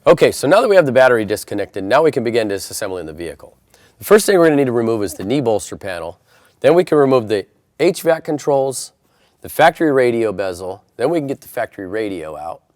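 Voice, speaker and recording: A man with an American accent walks through instructions step by step as a tutorial presenter. His voice sits at an ordinary male pitch. The sound is dry, with very little room around it. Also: sing-song, fast talker, darkish tone, no echo.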